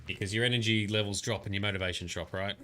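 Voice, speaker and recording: A man's voice: lowish voice, steady tone, fast, background noise. A man giving casual, chatty commentary on a livestream while playing a game and talking to his viewers.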